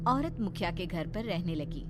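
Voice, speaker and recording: A woman's voice: lowish voice, expressive, normal speed, background noise. A woman giving dramatic scripted narration of a story, as its narrator.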